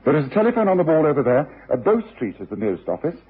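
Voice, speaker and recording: An actor playing a brisk, exacting private detective with a British accent, clipped and authoritative, in a radio drama. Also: male voice, a little high, sing-song, slightly rough, heavily acted. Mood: grave, firm. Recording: muffled, tinny old radio recording.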